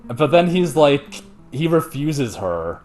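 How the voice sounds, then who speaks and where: A man's voice: fairly high pitch, very animated, slow pace, darkish tone, soft background music. A man hosting a show, retelling a story in casual, slangy, profane and jokey chat. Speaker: host retelling an ancient myth.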